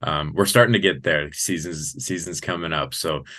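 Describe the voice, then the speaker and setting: A man's voice: rumbling low, moderate pace, pushed and bright. A man in his thirties from Colorado with an American accent, talking enthusiastically and earnestly as an announcer being interviewed. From Colorado, United States. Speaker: sports public-address announcer, interview guest. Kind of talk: conversational interview.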